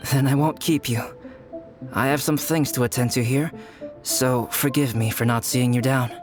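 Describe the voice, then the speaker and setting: An actor playing a reserved, philosophical young male alchemist in a fantasy video game, speaking in a measured, articulate way. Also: medium pitch, fairly even intonation, natural voice. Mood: polite. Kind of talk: video game character acting, storytelling. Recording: music playing behind the voice.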